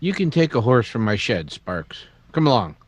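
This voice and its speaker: A man's voice: medium pitch, big pitch swings, normal speed, a little hiss or hum, close to an everyday voice. A man playing an earnest, principled older townsman in a radio western. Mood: earnest concern. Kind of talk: old-time radio western acting.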